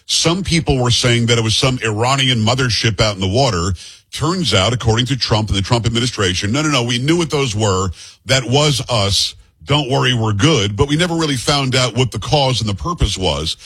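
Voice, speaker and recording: A man's voice: medium pitch, lively intonation, fairly quick, fairly bright tone, husky, dry recording, rough recording. A man from Florida with an American accent, hosting a news commentary interview in an informal, plain-spoken way. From Florida, United States. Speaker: news commentary show host.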